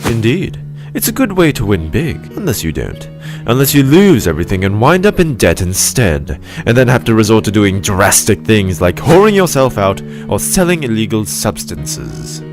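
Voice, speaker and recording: A man's voice: fairly low pitch, huge pitch swings, moderate pace. A man voicing a clever, smug scholar with a pedantic, drily sarcastic manner, in a comedic fan dub. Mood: smug, dry. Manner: sardonic, pedantic, articulate. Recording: music behind the voice.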